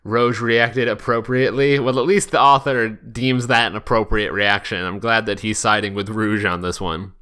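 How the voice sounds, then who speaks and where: A man's voice: fairly low pitch, steady tone, moderate pace, hardly any pauses, sharp tone, clear, resonant tone. A man co-hosting a podcast, reading aloud casually and joking crudely with an amused delivery. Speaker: podcast co-host. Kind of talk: casual comedic reading with banter.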